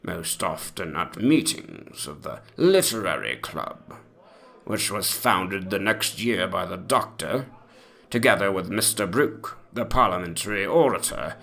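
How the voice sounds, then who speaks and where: Man acting a pompous ancient gentleman, theatrically swooping. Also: lowish voice, exaggerated voice. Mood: genteel reminiscing. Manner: mannered, antiquated, pompous diction with drawn-out words. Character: pompous, ancient 18th-century English gentleman memoirist, fond and gossipy. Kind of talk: dramatic reading of a mock memoir.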